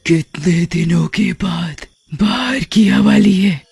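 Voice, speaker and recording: Casting: male actor as greedy cartoon witch, very high husky voice, gloating delivery. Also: a little mellow, soft background music, full character voice. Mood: gleeful, scheming. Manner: drawn-out, exclaiming, gloating. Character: greedy, cackling, ill-tempered female witch. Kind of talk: Hindi animated horror-comedy story acting.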